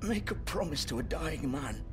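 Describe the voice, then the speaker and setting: A man's voice: a little high, animated, close to an everyday voice. A man playing a foul-mouthed, wounded crook in a video game, bitter, desperate and volatile. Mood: weary, defeated. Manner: slow, sweary, emotionally volatile. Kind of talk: dramatic video game acting. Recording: music playing behind.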